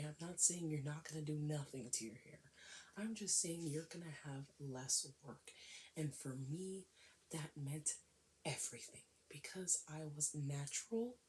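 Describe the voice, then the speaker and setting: A woman with a raspy, cold-worn voice chatting casually to camera in a hair vlog, often dropping into a whisper. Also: low voice. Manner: chatty, emphatic, with frequent whispery stretches. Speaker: hair vlogger.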